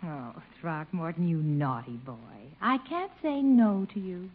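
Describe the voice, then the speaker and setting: An actress playing a coy, flirtatious American sweetheart in a 1950s radio situation comedy, with theatrical cooing. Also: female voice, lowish voice, sing-song, measured pace, breathy edge, heavily acted. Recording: thin, phone-like 1950 radio broadcast.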